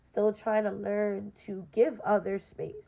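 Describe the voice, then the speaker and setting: A young woman with a raspy voice, hosting her podcast and speaking earnestly and directly to listeners in a casual personal monologue, often saying "um" and "you know". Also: mid-range voice, level tone, slow. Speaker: podcast host. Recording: dull lo-fi recording.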